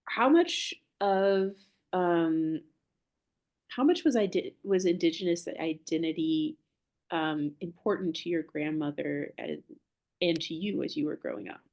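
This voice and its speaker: Woman interviewer, lowish pitch, casual conversational questions, thoughtful pauses. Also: darkish tone. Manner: reflective, searching, uses "like" and "um".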